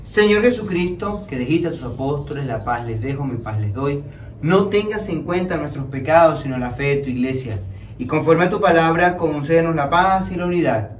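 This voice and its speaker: A young man leads Mass as a priest, in a slightly high voice. He recites the prayers solemnly, with measured, declamatory phrasing. He is picked up from some distance in an echoey room, with faint noise behind him. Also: lively intonation. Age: young adult.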